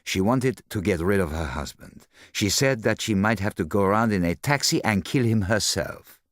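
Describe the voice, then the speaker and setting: Brief: male reader doing a hushed, precise, formal sleuth, slow and low, dry close sound. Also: animated, no echo, close to an everyday voice. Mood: explaining. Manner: formal, measured, quietly self-satisfied. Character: fastidious older male detective, soft-spoken and precise. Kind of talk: audiobook character voice.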